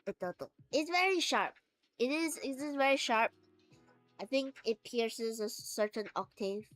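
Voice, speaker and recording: A woman's voice: a little high, lively intonation, unhurried, a bit bright, soft background music. A woman streamer chatting casually, laughing often and acting out little quoted voices.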